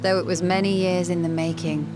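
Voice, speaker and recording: A woman voicing a thoughtful, resolute scholar in a fantasy game, measured, formal and eloquent. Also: on the low side, flat delivery, natural voice. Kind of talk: dramatic fantasy game cutscene acting. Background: music.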